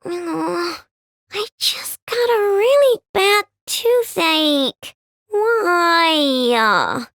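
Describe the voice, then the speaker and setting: A woman's voice: extremely high pitch, expressive, drawn-out words, a bit bright, no echo, clean studio sound, heavily acted. An actress voicing a cheerful, playful young girl inventor who loves sweets, in bouncy, childlike game voice lines. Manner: playful, wheedling, with gasps and giggles. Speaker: game character voice.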